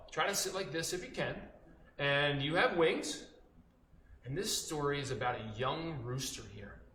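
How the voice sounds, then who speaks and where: Animated, high-voiced man telling children's stories. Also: reverberant, a little hiss or hum. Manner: animated, instructive, emphatic pauses. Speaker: children's storyteller. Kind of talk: interactive storytelling for young children.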